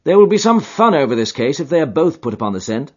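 A man reading aloud voices a sharp, aloof male detective, quick and superior. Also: on the high side, big pitch swings, breathy edge, pitched above the performer's own voice. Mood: lofty. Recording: dull lo-fi recording.

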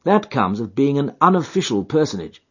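A man reading aloud voices a sharp, aloof male detective, quick and superior. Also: medium pitch, big pitch swings, slightly rough, voice pushed up. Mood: languid. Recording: dull lo-fi recording.

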